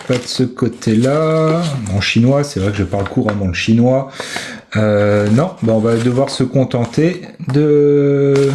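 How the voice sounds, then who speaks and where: A man giving casual product commentary, thinking aloud with hesitant pauses. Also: mid-range voice, dark tone, a little hiss or hum. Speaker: amateur video maker reviewing a purchase.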